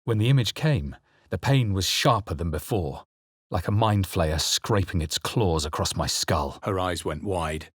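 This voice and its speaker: A man voicing a brooding adventurer hero in a video game, delivering measured, theatrical lines with grave weight. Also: deep voice, animated, slightly rough, dry recording, studio quality, heavily acted. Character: brooding male fantasy adventurer hero, adult, narrating and spellcasting. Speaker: player-character voice actor.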